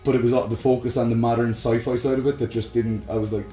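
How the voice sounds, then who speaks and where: A man's voice: mid-range voice, level tone, quick pace, dark tone. A man co-hosting a casual, rambling podcast chat, giving his opinions. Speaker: podcast co-host. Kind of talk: casual chat about video game films. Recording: roomy echo, music underneath.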